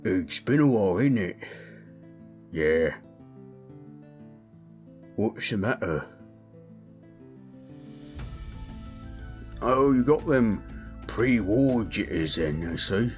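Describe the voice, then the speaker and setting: A man voicing a big, gentle, chatty orc in a soothing roleplay audio, with a folksy, rambling, rustic way of talking. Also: lowish voice, animated, takes it slowly, long pauses, faint music behind. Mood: gentle, reassuring. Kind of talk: soothing character roleplay audio addressed to listener.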